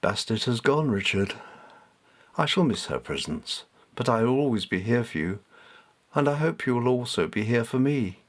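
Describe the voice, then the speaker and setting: A man voicing a wise, gentle male cat spirit, softly and deeply, in a reassuring, measured storybook manner. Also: lively intonation, close to an everyday voice. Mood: tender, reassuring. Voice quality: soft, deep.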